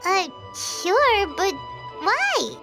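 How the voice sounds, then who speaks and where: A woman's voice: very high voice, big pitch swings, extremely slow, heavily acted. A woman voicing a small, childlike, curious floating fairy companion in a video game, chirpy and inquisitive. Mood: surprised. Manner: speaks of self in third person, inquisitive. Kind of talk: video game character acting. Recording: music behind the voice.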